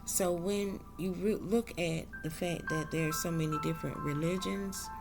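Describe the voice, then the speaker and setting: Woman vlogger, low gravelly voice, unhurried and reflective. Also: fairly even intonation, over music. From South Carolina, US. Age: adult. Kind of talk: slow reflective monologue.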